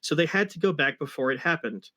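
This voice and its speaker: Male story reader, fairly high voice, comic dramatic narration broken by wry asides. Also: lively intonation, fast, sharp tone. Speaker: reader of a fan fiction story.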